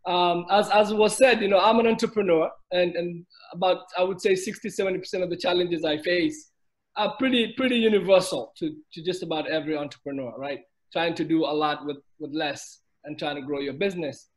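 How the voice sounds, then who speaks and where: Kenyan-born man, slightly high voice. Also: poor audio. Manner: measured, many restarts and repeated words. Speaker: business owner, panelist. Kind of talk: webinar panel talk. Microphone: video call.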